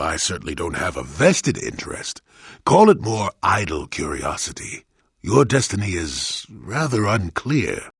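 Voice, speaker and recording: An actor playing a cantankerous, wry old male Jedi hermit in a video game, with a gravelly, husky voice and a grumbling, sardonic manner. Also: fairly low pitch, no room sound, clean studio sound, heavily acted. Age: older. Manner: grumbling, sardonic, theatrically expressive. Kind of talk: video game character dialogue.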